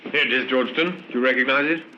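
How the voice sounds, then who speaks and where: A blunt, dutiful police sergeant in a vintage radio crime play. His voice is very sharp and forceful, heard on a muffled old recording. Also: mid-range voice, fast talker, a little hiss or hum. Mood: blunt, businesslike. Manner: blunt, deferential to his superior. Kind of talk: 1950s radio drama acting.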